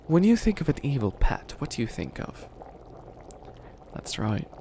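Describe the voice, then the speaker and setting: A dramatic, would-be-evil witch voiced by a man schemes with theatrical glee in a comedic ASMR roleplay. The voice is gravelly with plenty of fry and rambles along, over faint background noise. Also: medium pitch, sing-song, a little slow, warm tone. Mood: gleeful scheming. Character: bumbling, dramatic bunny witch who thinks himself evil.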